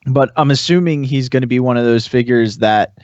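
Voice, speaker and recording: A man's voice: mid-range voice, a little fast, darkish tone, dry recording. A man chatting casually as a podcast panelist reviewing toys, hesitant and thinking aloud as he goes. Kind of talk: casual chat. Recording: slightly dull, lo-fi sound.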